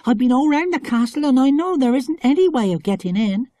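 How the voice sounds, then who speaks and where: A man voicing a fearful, superstitious young village girl in a storybook, breathy, timid yet insistent. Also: very high voice, lively intonation, a little fast, mellow, no room sound, studio quality, pitched above the performer's own voice.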